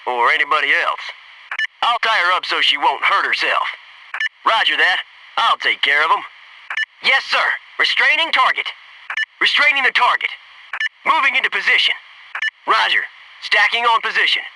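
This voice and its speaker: An actor playing a folksy, dutiful Navy SEAL commando, giving short, clipped military callouts in a video game. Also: male voice, sits high, expressive, normal speed, pauses often, distant mic, background noise. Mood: folksy, confident.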